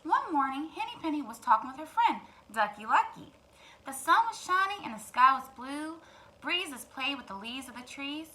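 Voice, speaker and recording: A woman reads a children's story in a high, bright voice. She is a storytime teacher who speaks expressively and talks straight to young listeners, with clear noise in the recording. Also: very animated, normal speed, poor audio. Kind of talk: children's read-aloud with asides.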